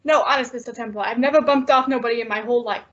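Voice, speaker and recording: Woman playing a high-voiced, slangy cabbie guy. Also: very fast, close to an everyday voice. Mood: alarmed. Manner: stammering, slangy, ungrammatical. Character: eager, nervous, slangy male New York-style cabbie. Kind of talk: old-time radio drama acting. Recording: dull lo-fi audio.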